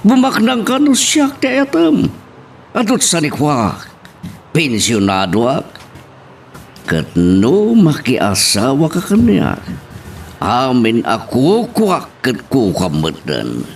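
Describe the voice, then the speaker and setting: A man playing an amorous older pensioner in an audio drama, wheedling a young woman with drawn-out delivery. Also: mid-range voice, wildly swooping pitch, creaky, slight background noise, exaggerated voice. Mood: pleading, boastful. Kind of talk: Ilocano radio-style drama acting.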